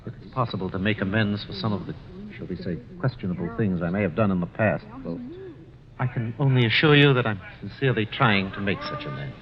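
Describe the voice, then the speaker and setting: An actor playing a smooth-talking, genial ex-swindler in a vintage radio drama, with formal, effusive, insistent manners. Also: male voice, lowish voice, expressive, fast talker, crowd noise, natural voice. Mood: pained, earnest. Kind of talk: 1950s radio drama acting. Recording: dull vintage radio recording.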